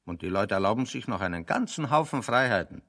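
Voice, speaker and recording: An actor playing a dry, opinionated man in a German radio play, reasoning with deadpan, sardonic deliberation. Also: fairly low pitch, quick pace.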